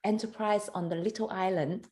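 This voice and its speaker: Laughing woman from Hong Kong. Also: mid-range voice. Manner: animated, laughs often, halting non-native phrasing. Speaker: photographer and competition judge. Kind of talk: casual video call interview.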